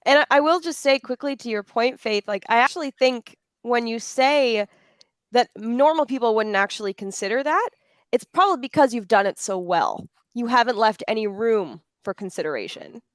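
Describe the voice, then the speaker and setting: A woman's voice: on the high side, dry recording. A woman moderating a panel conversationally, articulate and affirming as she talks.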